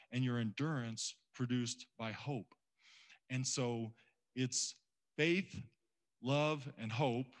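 A man preaching a sermon to a church congregation in a casual, conversational way. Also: medium pitch. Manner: conversational, acts out quoted voices. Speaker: pastor.

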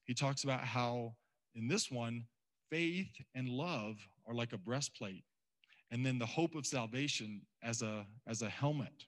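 Male pastor, casual sermon delivery to a church congregation, middle pitch. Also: unhurried, fairly bright tone. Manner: conversational, acts out quoted voices.

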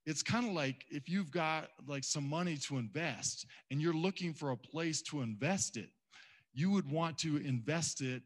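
A man's voice: on the high side, normal speed. A man preaching a sermon to a church congregation in a casual, conversational way. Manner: conversational, acts out quoted voices. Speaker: pastor.

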